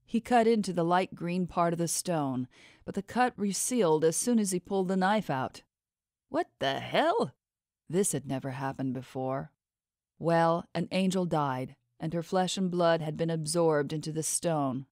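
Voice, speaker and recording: Low-pitched woman reading a fantasy audiobook. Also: moderate pace, a little mellow, no room sound, clean studio sound. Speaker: audiobook narrator. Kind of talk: scripted fantasy novel narration.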